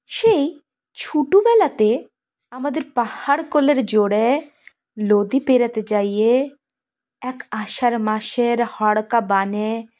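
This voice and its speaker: Woman reciting as a slow-spoken, wistful village girl. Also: medium pitch, a little mellow, close to an everyday voice. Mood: sorrowful, recalling. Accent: Purulia rural western Bengal dialect. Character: poor, dark-skinned young village woman, plaintive and defiant. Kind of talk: dramatic monologue poem recitation.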